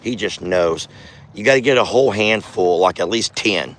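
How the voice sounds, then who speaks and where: A hoarse, middle-aged man rambles in a folksy way as he films outdoors on his farm. His voice sits fairly low. A faint hiss of noise stays underneath. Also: moderate pace. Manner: folksy, chatty, talks softly to his bull. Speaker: farm vlogger, channel host. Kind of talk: casual outdoor vlog. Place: outdoors on a farm by a bull pen. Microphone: handheld phone camera.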